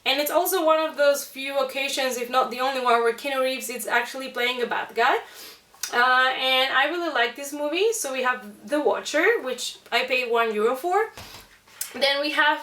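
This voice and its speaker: Female haul-video host, bright and chatty, recorded in an echoey room a bit away from the mic. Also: high voice, normal speed. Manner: chatty, enthusiastic. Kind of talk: casual vlog chat to viewers. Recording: roomy echo, distant microphone.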